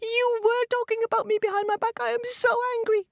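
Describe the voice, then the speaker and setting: A woman's voice: very high voice, level tone, a little fast, creaky, dry recording, clean studio sound, voice pushed up. A woman voicing a hurt, furious female hyena in a spoken children's story, repeating her accusations shrilly.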